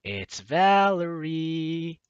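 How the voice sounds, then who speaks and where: A man's voice: fairly high pitch, sing-song, very slow, clean tone, lo-fi sound. A man reading a visual novel aloud as a let's play host, expressively acting out reactions and adding playful asides. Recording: music under the voice. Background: game music.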